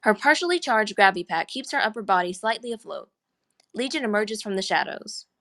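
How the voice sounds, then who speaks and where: Woman narrator reading script action lines at a table read, mid pitched voice. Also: lively intonation, brisk, fairly bright tone.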